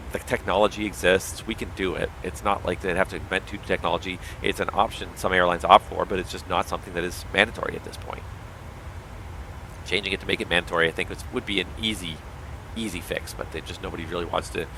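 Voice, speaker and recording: A man's voice: sits low, bright, forceful tone, a little hiss or hum, poor audio. A man with a strained, tiring voice, a pilot streaming a livestream and explaining things at length with firm opinions. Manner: explanatory, opinionated, talks in long running thoughts. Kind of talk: casual livestream commentary.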